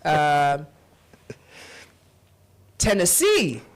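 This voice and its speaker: Animated Kenyan woman, lowish voice. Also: drawn-out words, slight background noise. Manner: animated, teasing and incredulous. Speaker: podcast host. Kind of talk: casual podcast conversation.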